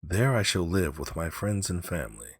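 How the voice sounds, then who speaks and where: A man's voice: low-pitched, big pitch swings, normal speed, husky, no room sound, studio quality, exaggerated voice. A man voicing an earnest, uneasy male sailor in a read-aloud folk tale, with simple, solemn phrasing. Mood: wistful.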